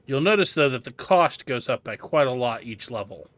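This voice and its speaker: A man's voice: medium pitch, animated, normal speed. A man giving a casual game walkthrough, explaining things as he goes and thinking aloud. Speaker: gameplay guide host.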